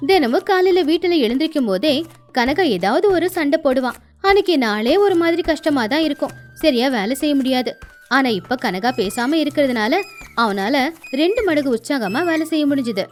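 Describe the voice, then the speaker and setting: A woman narrator telling a scripted moral story in a storytelling style. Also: high voice. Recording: faint music underneath.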